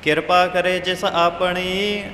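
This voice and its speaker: A man reciting Sikh scripture in a measured, melodic chant, line by line. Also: high voice, little pitch movement, sharp tone, slight background noise. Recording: echoey hall with long reverb.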